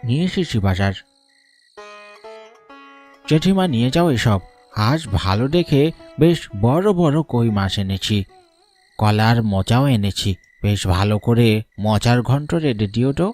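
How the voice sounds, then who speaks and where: A man's voice: medium pitch, animated, unhurried, long pauses, a little mellow, faint music behind, natural voice. A man voicing a cheerful, affectionate grown-up village nephew in a cartoon, easygoing and familiar. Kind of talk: cartoon voice acting.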